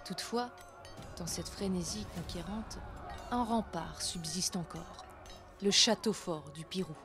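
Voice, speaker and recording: A woman's voice: on the low side, measured pace. Woman narrating folk legends over music. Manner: theatrical, literary storyteller delivery. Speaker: host and storyteller.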